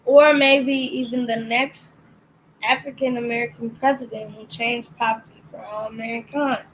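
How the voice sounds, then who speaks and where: Slow, careful young reader. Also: female voice, on the high side, fairly even intonation, fairly bright tone, slight background noise, rough recording. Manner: careful reading, occasional stumbles on words. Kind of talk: slow read-aloud.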